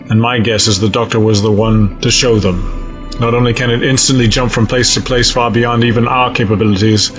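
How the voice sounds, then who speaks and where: A man's voice: lowish voice, close to an everyday voice. Man acting a cold, formal fleet admiral, muffled. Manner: formal, measured, stiff diction. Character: cold, resolute male alien space-fleet admiral. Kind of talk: sci-fi audio drama acting.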